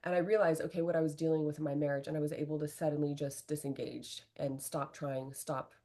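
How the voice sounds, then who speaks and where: Middle-aged woman, low-pitched, relationship coach; earnest, candid interview talk. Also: quick pace. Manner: earnest, animated, fillers like "right?".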